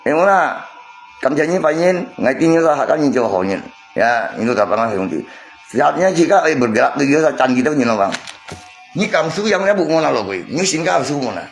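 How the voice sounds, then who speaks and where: A man's voice: a little high, very animated, brisk, soft background music. A man chatting casually on a livestream, repeating himself and arguing his point to viewers. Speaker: live streamer.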